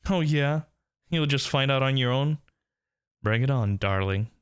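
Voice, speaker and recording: A man's voice: medium pitch, expressive, a little slow, clean tone, no echo, clean studio sound, close to an everyday voice. A man playing a smitten, teasing workplace rival turned lover in an intimate romantic audio roleplay, murmuring tenderly and breathily. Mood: seductive, teasing.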